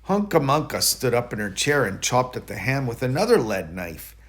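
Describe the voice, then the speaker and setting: Expressive man reading a bedtime storybook. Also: medium pitch, normal speed. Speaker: storybook reader.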